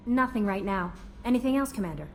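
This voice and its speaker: A woman's voice: mid-range voice, animated, fast, faint music behind, natural voice. A woman playing a friendly, informal young ship crew aide in a video game. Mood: upbeat. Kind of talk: video game dialogue acting.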